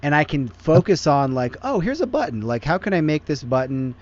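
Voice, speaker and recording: A man's voice: a little high, very animated, fairly quick, darkish tone, lo-fi sound. A male podcast host in a loose, joking chat, with lots of "like" in his speech.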